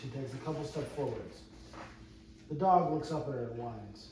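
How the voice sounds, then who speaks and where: A man's voice: medium pitch, normal speed, a little mellow. A man reading stage directions aloud at an amateur table read, plainly and with some stumbles over phrases. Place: indoor room with a few other readers. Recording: echoey room, distant mic, noisy.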